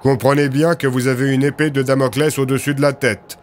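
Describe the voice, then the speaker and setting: A man's voice: mid-range voice, flat delivery, brisk, a little hiss or hum, natural voice. Man playing a stern, blunt lawman. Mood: stern, warning. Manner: dry, firm, formal.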